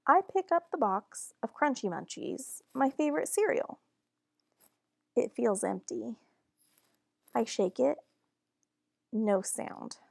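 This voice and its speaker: Woman, higher-pitched, heavy vocal fry, children's story reader, expressive and deliberate. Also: dry recording, clean studio sound. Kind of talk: children's picture book read-aloud.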